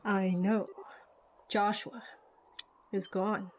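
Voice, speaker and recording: Woman playing grave, slow-speaking veteran father. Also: mid-range voice, little pitch movement, slight background noise. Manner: serious, deliberate explaining. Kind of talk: amateur game cutscene voice acting. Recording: music behind the voice.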